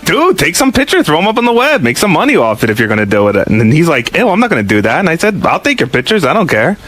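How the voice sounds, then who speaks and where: A man's voice: fairly high pitch, wildly swooping pitch, fast talker, a bit bright, soft background music. A man in a heated, casual on-air argument, defending himself.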